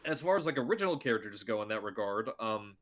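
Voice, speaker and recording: A man's voice: fairly low pitch, animated, quick pace, fairly bright tone. A man talking as a guest voice actor in a casual podcast interview, chatty and rambling with many restarts.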